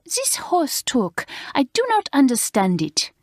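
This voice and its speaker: A fussy, dramatic French schoolmistress with a high, drawn-out voice and a heavy French accent. She stretches her words out slowly. The sound is close and dry. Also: huge pitch swings, no echo, heavily acted. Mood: fretful.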